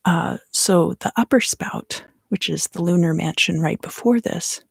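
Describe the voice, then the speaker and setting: A woman softly whispering an ASMR tarot reading as a livestream host, soothing and unhurried, in a roomy recording. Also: on the low side, creaky, breathy edge, studio quality.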